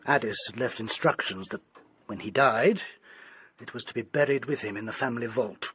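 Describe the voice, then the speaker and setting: An actor playing an agitated, obsessed English doctor in a radio drama, with formal, dramatic delivery. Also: male voice, medium pitch, moderate pace, a bit bright, a little hiss or hum, close to an everyday voice. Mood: grave, confiding. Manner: formal, dramatic, urgent pleading and grave storytelling. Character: agitated, desperate male doctor obsessed with an occult book. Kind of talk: British radio drama acting.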